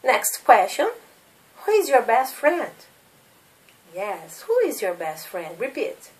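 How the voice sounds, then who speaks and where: A woman's voice: on the high side, very animated, takes it slowly, distant mic. A woman in her thirties from Brazil with a Brazilian Portuguese accent, teaching English as a second language and drilling simple questions for learners to repeat. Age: 30s. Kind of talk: slow classroom drilling of questions.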